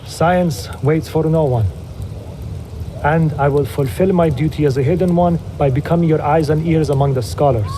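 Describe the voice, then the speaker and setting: An actor playing a gentle, thoughtful scholar who welcomes a guest kindly. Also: male voice, a little high, moderate pace, a little hiss or hum, lightly acted. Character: gentle male scholar and hidden brother.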